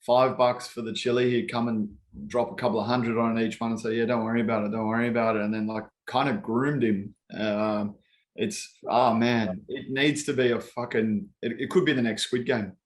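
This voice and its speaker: A man's voice: mid-range voice, fairly even intonation, normal speed. A man, a gym owner co-hosting a podcast, talking in a loose, self-mocking way and swearing freely. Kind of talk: casual chat.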